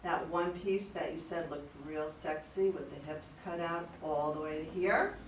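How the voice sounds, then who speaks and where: A woman's voice: deep voice, normal speed, lightly acted. Lady acting indignant paranoid wife in a stage reading, exclaims a lot, echoey and far from the mic, bit of noise. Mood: defensive. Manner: defensive, exclamatory. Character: anxious, indignant wife convinced she is watched online. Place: room at a live readers' theater reading. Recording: echoey room, distant mic, faint noise.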